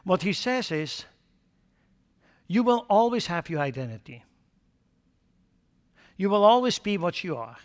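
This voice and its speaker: A man with a Swiss accent preaching a sermon as a pastor, then leading a prayer. He swings between loud, emphatic exhortation and hushed prayer. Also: sits high, very animated, a little slow, pauses often. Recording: long hall reverb with faint music.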